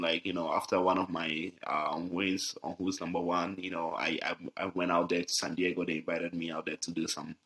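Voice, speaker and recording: Deep, bright-toned easygoing man from Ghana. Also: rough recording. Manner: easygoing, many fillers, frequent laughs. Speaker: guest, jiu-jitsu athlete. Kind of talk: casual podcast interview.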